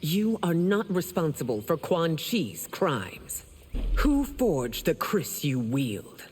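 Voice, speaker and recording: A proud warrior queen issues her words with stern, formal authority. Her pitch moves widely in a theatrical game-dialogue style, over faint background ambience. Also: very animated, slow, darkish tone, slight background noise, full character voice. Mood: stern, regal. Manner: regal, commanding, formal. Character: imperious middle-aged warrior empress, stern and proud. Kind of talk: dramatic video game dialogue.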